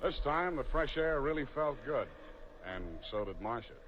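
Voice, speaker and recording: A man's voice: a little high, expressive, moderate pace, a little hiss or hum, lightly acted. A man playing a terse hard-boiled private eye. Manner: terse, clipped narration. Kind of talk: 1940s radio drama acting. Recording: dull old radio recording with music.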